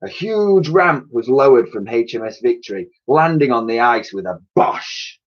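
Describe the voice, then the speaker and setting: A man reading a children's story aloud as a teacher would, with dramatic, expressive delivery. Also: medium pitch, moderate pace. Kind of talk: animated storybook narration. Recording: dull, lo-fi sound.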